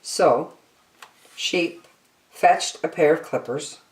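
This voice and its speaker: Slow, expressive low-voiced woman storyteller. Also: far from the mic. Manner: theatrical, deliberate phrasing. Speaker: storytime reader. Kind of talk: children's picture book read-aloud. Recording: roomy, echoey sound.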